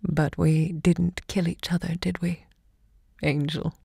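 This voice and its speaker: An actress playing a self-assured, romantic literary hostess and seductress in an audio drama, theatrical and eloquent in delivery. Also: female voice, lowish voice, flat delivery, slow pace, vocal fry, mellow, breathy edge, no echo, clean studio sound, heavily acted. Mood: tender, wistful.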